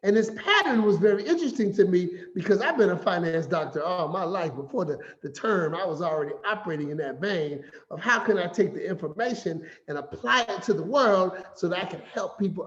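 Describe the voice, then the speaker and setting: A man giving a finance talk as an animated, playful storyteller who acts out quotes. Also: high-pitched, brisk, few pauses. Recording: echoey room, slightly dull sound.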